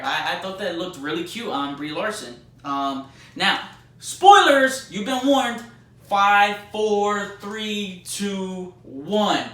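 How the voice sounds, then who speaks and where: A man giving a casual, unscripted movie review, gushing excitably and often stammering over repeated words. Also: on the high side. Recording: roomy echo, faint background noise.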